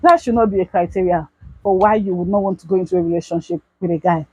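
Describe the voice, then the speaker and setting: A woman's voice: on the low side, fast talker, darkish tone, husky, no echo, low-quality recording. A young Nigerian woman talking casually to her viewers in an advice vlog. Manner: chatty, addresses viewers as bestie. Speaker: YouTube vlogger.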